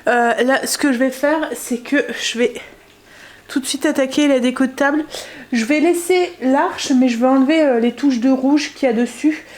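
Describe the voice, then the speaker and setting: A woman vlogging casually from home, with a stuffy nose from a cold, chatting with lots of filler pauses. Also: sits high, reverberant. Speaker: family vlogger. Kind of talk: casual home vlog chat.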